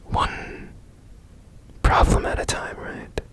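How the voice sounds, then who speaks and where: A man playing a strange, curious repairman in a whispered ASMR roleplay, breathy and gently reassuring with repeated soft phrases. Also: takes it slowly, poor audio. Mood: soothing, focused. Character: strange, curious, soothing male repairman of broken machines. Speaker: ASMR performer.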